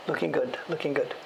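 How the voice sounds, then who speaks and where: A man's voice: fairly high pitch, vocal fry, noisy background. A man chatting casually on a livestream while he carves, rambling and self-deprecating, chuckling as he tells a story. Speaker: streamer and woodblock print carver.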